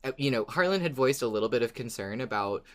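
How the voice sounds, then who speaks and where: A podcast co-host with a medium-pitched voice talks in casual fan-chat style. The delivery is expressive, often acting out reactions in a dramatized way. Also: fast talker.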